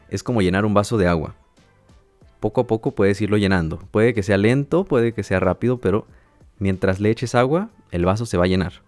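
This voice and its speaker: A man with a lowish voice teaches in a calm, measured way and pauses to check that listeners follow. The sound is dry and close, with faint music in the background. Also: no room sound. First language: Spanish. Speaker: language teacher and podcast host. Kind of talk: educational podcast monologue.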